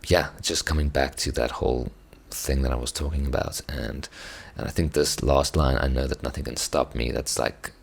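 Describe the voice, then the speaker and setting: A man, an Afrikaans speaker, hoarse from singing, talking through a song reaction in a reflective, hesitant way with many restarts. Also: very deep voice, steady tone, normal speed, few pauses. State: hoarse, strained voice. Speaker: musician reacting to songs. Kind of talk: casual reaction commentary.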